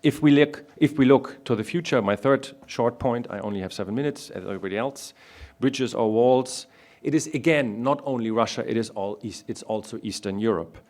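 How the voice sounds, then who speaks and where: A man from Germany, speaking as a panelist in a public discussion and making his points one by one in a measured way. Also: mid-range voice, darkish tone.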